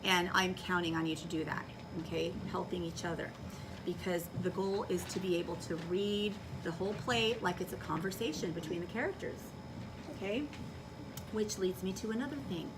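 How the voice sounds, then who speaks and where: A woman's voice: fairly low pitch, moderate pace. A woman schoolteacher giving instructions to children in a classroom, projecting her voice and checking understanding with questions. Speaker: elementary school teacher. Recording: distant mic, noisy classroom.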